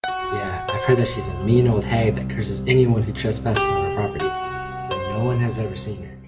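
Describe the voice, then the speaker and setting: A man's voice: fairly low pitch, normal speed, over loud music, natural voice. A man playing a goading, teasing boy among friends in an amateur audio drama. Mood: sly, daring.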